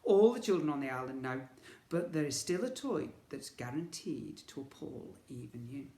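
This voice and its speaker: A man's voice: medium pitch, very animated, normal speed, vocal fry, dark tone, roomy sound. A man voicing a plucky, defiant young boy in a children's story, sarcastic and self-assured. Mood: determined.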